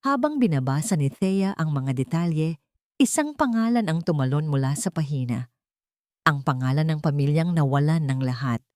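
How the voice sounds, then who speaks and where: Woman narrator with even, measured pitch. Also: no echo, clean studio sound. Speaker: audio drama narrator. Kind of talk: dramatic scripted story narration.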